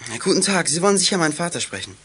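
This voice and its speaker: Keen teenage boy detective, fast-talking, fairly high voice, resourceful, children's radio play. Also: big pitch swings, pushed and bright, slightly rough, exaggerated voice. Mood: polite, alert.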